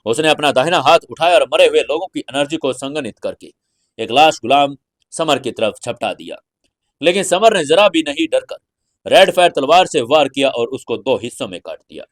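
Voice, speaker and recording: An Indian man reads a scripted fantasy audio story in a theatrical, deliberate storytelling manner. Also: fairly high pitch, lively intonation, dry recording. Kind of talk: dramatic scripted fantasy narration.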